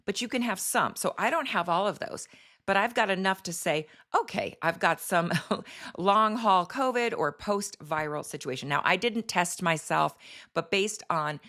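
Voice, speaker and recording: A middle-aged woman doctor with a slightly hoarse voice, hosting a casual health talk and explaining in a chatty way with fillers. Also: lowish voice, a bit bright. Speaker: doctor and health show host.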